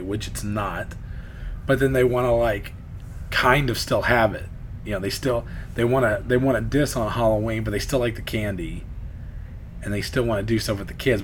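A man's voice: fairly low pitch, a little hiss or hum. A man losing his voice who co-hosts a podcast with his daughter, chatting casually and ranting. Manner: animated ranting, frequent restarts. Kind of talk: casual chat.

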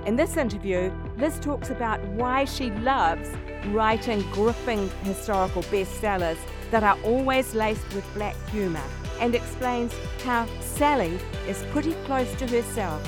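A woman's voice: a little high, unhurried, hardly any pauses. Woman podcast host with music behind. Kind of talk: podcast interviewing and scripted introductions.

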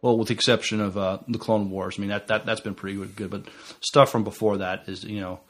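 A man co-hosting a podcast in casual, unscripted fan chat, haltingly restarting and qualifying what he says. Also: fairly low pitch, level tone. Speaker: podcast co-host.

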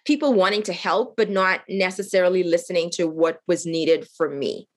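Woman from haiti, middle-aged, low-ish voice, earnest and reflective, lots of ums and restarts. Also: moderate pace. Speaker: guest, nonprofit cofounder. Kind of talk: interview storytelling.

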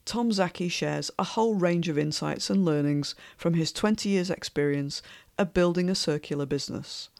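Gravelly-voiced woman podcast host. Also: fairly low pitch, moderate pace, darkish tone, no room sound, clean studio sound. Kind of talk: scripted podcast intro and interview.

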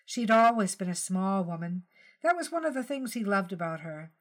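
A woman's voice: medium pitch, expressive, moderate pace. A woman reading fiction aloud as a scripted short story narrator.

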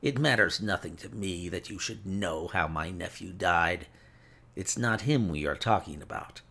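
A man's voice: deep voice, measured pace, creaky, sharp tone, slightly rough, exaggerated voice. A man voicing a gloating, cruel villain in an audiobook story, taunting with smug mock politeness. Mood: cold contempt.

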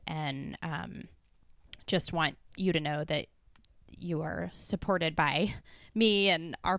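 A woman's voice: deep voice, fairly bright tone, no echo, studio quality. A woman hosting a podcast, asking questions and giving brief supportive replies. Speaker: podcast host. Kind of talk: podcast interview.

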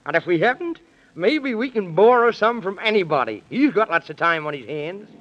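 A high-pitched, fussy little moon man, swooping animatedly through contrary nonsense. He is silly and easily exasperated, heard on a dull vintage children's radio recording. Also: very animated, normal speed, very noisy. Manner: fussy, exclamatory, giggly nonsense logic. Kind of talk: 1930s radio acting.

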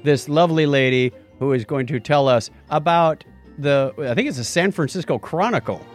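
A man's voice: a little high, moderate pace, soft background music. A man hosting a podcast, chatting casually and playfully through news stories. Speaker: podcast host. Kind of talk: casual news banter on a live-streamed podcast.

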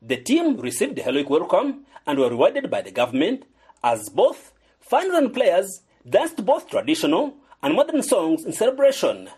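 A man's voice: sits high, huge pitch swings, normal speed, darkish tone, husky. A man reporting a scripted radio sports feature, narrating between interviews.

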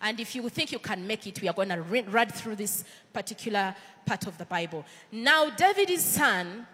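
A woman's voice: medium pitch, expressive, moderate pace, bright, forceful tone, husky, big-room sound. A woman with a Ugandan accent preaching a sermon in church, earnest and conversational, with frequent fillers and restarts.

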